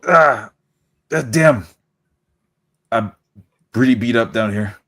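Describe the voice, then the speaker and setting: A man's voice: medium pitch, takes it slowly, a bit bright. A man voicing his character in a tabletop roleplay, describing actions and groaning in pain. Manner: groaning, pained in-character talk. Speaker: player.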